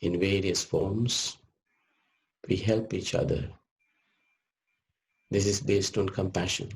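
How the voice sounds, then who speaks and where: A man leading a guided meditation as a teacher, speaking gently in short measured phrases with long pauses. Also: low-pitched, fairly even intonation, vocal fry.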